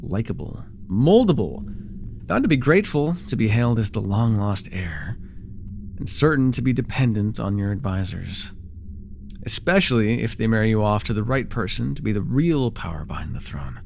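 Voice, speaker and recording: A man playing a sly, haughty, taunting dark-magic villain ruler in a fantasy audio roleplay, with a mocking, drawling delivery. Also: lowish voice, sing-song, moderate pace, vocal fry, a little hiss or hum. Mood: wry, scheming.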